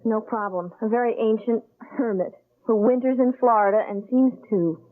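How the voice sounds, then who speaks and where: An actress playing an anxious young wife, affectionate but easily frightened, in an expressive old-time radio mystery style. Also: female voice, fairly high pitch, moderate pace, natural voice. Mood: light, chatty. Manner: theatrical, expressive. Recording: narrow-band vintage radio drama recording.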